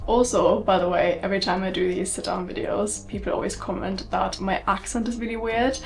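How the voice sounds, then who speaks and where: A woman's voice: mid-range voice, steady tone, fairly quick, faint music behind, low-quality recording. A young woman from Germany with a German accent, talking candidly to the camera in a casual sit-down vlog. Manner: chatty and candid, many fillers like um and like. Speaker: vlogger.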